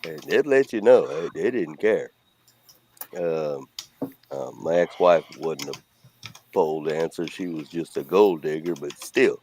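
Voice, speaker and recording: A man's voice: extremely low pitch, expressive, a little slow, a little mellow, a little hiss or hum. A middle-aged man with a Cajun accent, chatting casually as a co-host in a blunt, rambling way. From Louisiana. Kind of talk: casual chat.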